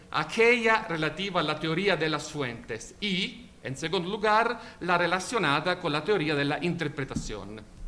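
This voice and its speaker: A man whose first language is Italian, speaking with an Italian accent in a formal academic conference talk, measured, with occasional slips and self-corrections. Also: a little high, sharp tone. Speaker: invited law professor.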